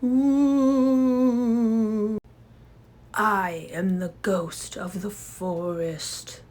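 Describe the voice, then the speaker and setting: Woman putting on a drawn-out, wailing forest ghost. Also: medium pitch, steady tone, a little mellow. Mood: eerie, haunting. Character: spooky wailing forest ghost, actually a disguise.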